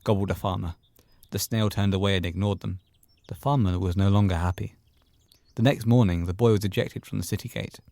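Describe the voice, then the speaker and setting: A man's voice: low-pitched, steady tone, a little fast, vocal fry, dark tone, no echo. A man reading scripted horror story narration for an audio play, close on the mic.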